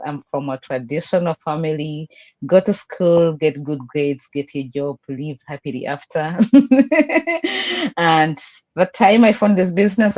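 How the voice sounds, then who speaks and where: This is a middle-aged woman with a Ugandan accent and a slightly low voice. She gives a personal testimonial on an online group call. Her storytelling comes in short, emphatic phrases. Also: darkish tone. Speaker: guest, business coach and language teacher.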